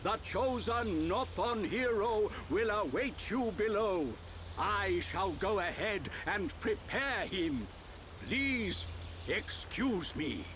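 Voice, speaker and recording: Pompous old little creature chief, real high voice, sing-song swings, courtly, video game. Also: male voice, lively intonation, background noise. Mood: pleased, brisk. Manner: courtly, unhurried, self-satisfied. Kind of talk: fantasy video game acting.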